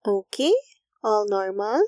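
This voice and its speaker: Woman playing a slow, gentle Filipino school nurse, teasing. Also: medium pitch, lively intonation. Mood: caring, gentle. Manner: soft, intimate, teasing, coaxing. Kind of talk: romantic ASMR roleplay.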